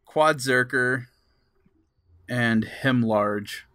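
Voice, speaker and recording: A man's voice: medium pitch, drawn-out words, bright, forceful tone, no echo, clean studio sound. A man chatting casually as a podcast co-host.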